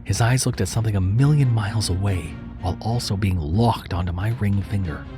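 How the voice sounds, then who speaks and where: A male narrator tells a horror story in a low voice. His delivery is dramatic and scripted, and music plays clearly behind the words. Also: fairly quick. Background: background music.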